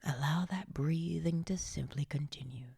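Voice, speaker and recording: A woman leading a guided meditation in a hushed, soothing, breathy and often whispered voice, coaxing gently with long pauses. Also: low-pitched, dry recording, studio quality. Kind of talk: slow guided meditation narration, partly whispered.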